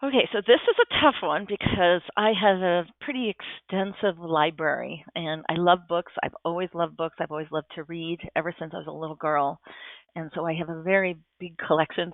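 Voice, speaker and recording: Enthusiastic female guest, gravelly tone, tinny phone-line audio, dry sound. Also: lowish voice, a little fast, no room sound. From United States. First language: Japanese. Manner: enthusiastic, thoughtful, frequent restarts. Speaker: podcast guest. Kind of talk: casual interview answers.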